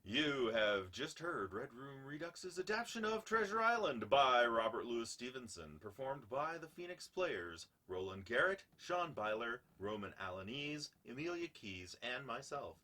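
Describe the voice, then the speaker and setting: A man's voice: medium pitch, big pitch swings, a little slow, sharp tone, recorded from a distance. A man reading scripted radio play credits as an announcer. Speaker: announcer and performer.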